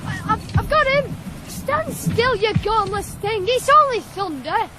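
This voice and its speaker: Teenage farm lad, very high voice, earnest, plain-spoken, radio drama, noticeable background noise. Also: lively intonation, measured pace. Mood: soothing, urgent.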